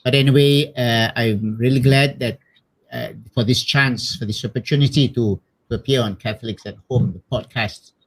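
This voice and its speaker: A middle-aged priest from Malaysia with a Malaysian accent, telling personal stories casually and haltingly, often repeating words. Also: male voice, medium pitch, fairly even intonation, rough recording. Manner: hesitant, repeats words, tags with "you know". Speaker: missionary priest being interviewed.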